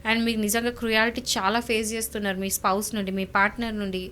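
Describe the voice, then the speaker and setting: Casting: female lawyer, Telangana Telugu accent, higher-pitched, emphatic explainer, faint noise behind. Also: flat delivery, quick pace, a bit bright. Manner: emphatic, repeats points, rhetorical questions. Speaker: lawyer, guest legal expert. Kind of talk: explaining legal advice conversationally.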